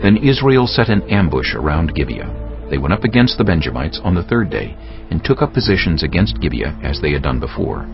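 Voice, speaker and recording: A man's voice: rumbling low, sing-song, a little fast, highs cut off, music in the background. A man narrating dramatized scripture as a solemn storyteller.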